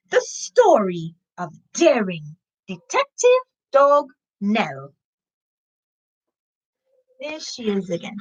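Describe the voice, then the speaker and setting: A woman from Trinidad hosts a story time for children. Her voice sits a little high and moves with very lively intonation. She takes her time, speaking expressively and directly to young listeners. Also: muffled recording. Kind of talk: children's story time reading with casual asides.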